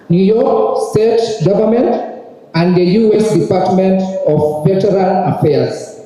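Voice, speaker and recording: A man acting as master of ceremonies at a graduation, making formal, polite announcements at a slow pace. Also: high voice, warm tone. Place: large ceremony hall. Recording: echoey hall, distant mic.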